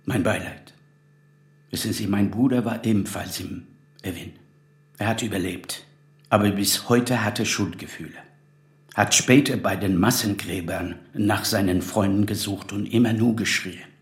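A man acting a courteous, grave middle-aged exile in a naturalistic radio drama, gravelly and measured. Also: fairly low pitch, reverberant, natural voice. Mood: grave, sorrowful. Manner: polite, measured.

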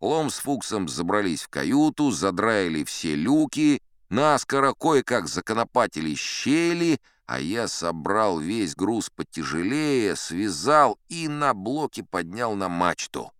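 A man's voice: lowish voice, sing-song, a little slow, a bit bright, smooth, no echo, clean studio sound. A man playing an old sea captain who tells tall tales in a sly, folksy, conversational way, as in a dramatized audiobook. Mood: boastful, animated. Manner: conversational, sly, leisurely, addressing a young listener.

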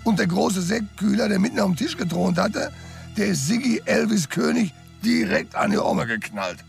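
A very gravelly, sardonic tough sidekick. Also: male voice, high voice, very animated, moderate pace, soft background music, heavily acted. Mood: mocking, amused. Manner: colloquial, mocking. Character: sardonic, street-tough male sidekick, drily amused. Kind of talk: German radio crime drama acting.

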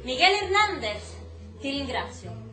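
A woman's voice: sits high, big pitch swings, unhurried, sharp tone, exaggerated voice. An actress playing a guarded, determined American woman researcher in a radio drama. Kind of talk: stage-style radio drama acting. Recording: echoey room, faint music behind.

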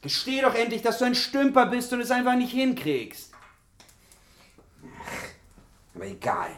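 A man's voice: very high-pitched, wildly swooping pitch, a little slow, fairly bright tone, full character voice. An actor playing a brash, fearless young hero in a fantasy radio play, bold and taunting in his declamation. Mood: impatient. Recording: echoey, distant sound with music behind.

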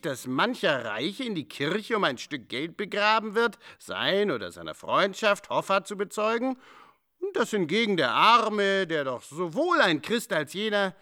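Brazen, self-justifying soldier-bandit for radio drama; declamatory, rather high-pitched, very animated delivery. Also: male voice, full character voice. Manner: declamatory, swaggering, archaic phrasing. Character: brazen, cynical male robber and soldier, scornfully self-justifying. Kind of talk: German radio play acting.

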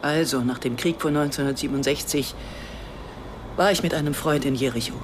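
A woman's voice: rumbling low, brisk, background noise. An actress playing an Israeli woman writer in a naturalistic radio drama, teasing and a little awkward. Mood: reflective.

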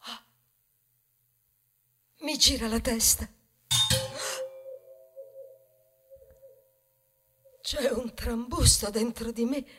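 A high-pitched female voice as a tender mother ear of corn grieving for her children. She speaks slowly and theatrically in a poetic radio ballad, swinging between hushed and impassioned, with music underneath. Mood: bewildered, troubled. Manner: slow dramatic delivery, swinging from hushed to impassioned. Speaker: stage actress.

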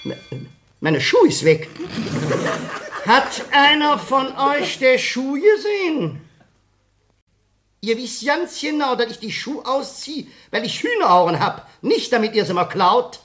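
A man's voice: very high-pitched, very animated, takes it slowly, fairly bright tone, exaggerated voice. An actor playing a cranky, folksy male physics teacher with a Rhineland German accent in a radio play, scolding and rambling. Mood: indignant.

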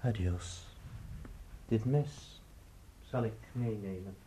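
Radio drama villain: menacing, portentous avenger, low mellow voice, unhurried, grave. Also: male voice. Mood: solemn, threatening. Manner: slow, solemn, portentous. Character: menacing, grave, theatrical avenger bestowing a guitar. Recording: faint noise and clicks.

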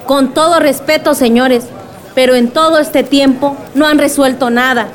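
A woman's voice: high voice, steady tone, normal speed, a faint crowd, close to an everyday voice. A woman playing a resolute villager speaking up for her community in a radio drama, earnest and insistent. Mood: urgent, firm.

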